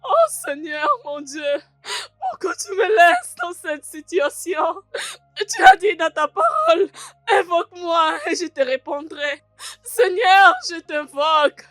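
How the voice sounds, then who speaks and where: An actress playing a desperate childless woman who tearfully pleads with God, wailing and repeating herself in drawn-out cries. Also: extremely high pitch, very animated, a bit bright, no room sound, exaggerated voice. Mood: anguished pleading. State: crying, wailing.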